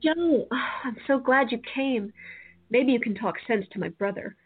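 A woman's voice: a little high, animated, a little slow, breathy edge. A woman playing a worried, exasperated sister in a radio play, speaking fretfully. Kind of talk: amateur radio play acting. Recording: tinny phone-like sound.

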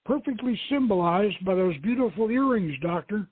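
A man's voice: sits high, lively intonation, normal speed, husky, pitched above the performer's own voice. A man voicing a polite, eager young female museum guide with a formal, explanatory manner. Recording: tinny band-limited radio stream, roomy echo.

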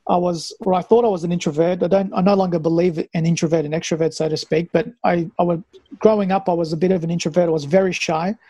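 A man's voice: sits high, fairly even intonation, quick pace, dark tone, no room sound. A man, an interview guest reflectively telling his own story in a conversational way. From Australia, born in Afghanistan. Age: 40s. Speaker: interview guest, health practitioner and mentor.

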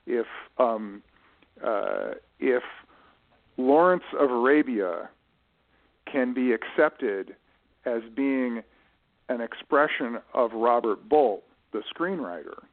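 A man giving thoughtful, explanatory interview answers in a halting way, often restarting and correcting himself as he goes. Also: medium pitch, very animated, phone-quality sound. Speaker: guest, writer managing his late father's literary estate. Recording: band-limited radio-style voice recording.

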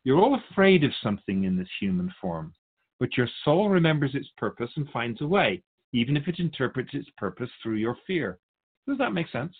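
Wise otherworldly soul guide, played by a man: patient, didactic, measured, gently chiding, expressive pitch movement, for spiritual radio dialogue. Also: husky, narrow, tinny audio. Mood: patient, explaining.

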